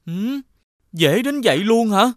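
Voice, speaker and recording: Lively young cartoon prince. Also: male voice, high-pitched, slow, no room sound, clean studio sound. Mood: doubtful, protesting. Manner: earnest, expressive. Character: earnest young prince posing as a hunter. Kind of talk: Vietnamese animated fairy tale dubbing.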